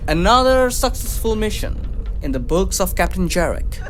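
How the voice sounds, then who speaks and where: A man's voice: high-pitched, sing-song, moderate pace, fairly bright tone, faint music behind. A man playing a vain, cocky spaceship captain in a sci-fi comedy audio drama, smug and boastful.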